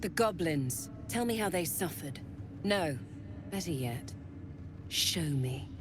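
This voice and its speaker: A woman's voice: low-pitched, expressive, slow pace, noisy background. An actress playing a stern, authoritative female cult commander in a fantasy game, formal and clipped. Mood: imperious. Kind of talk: dramatic fantasy game acting.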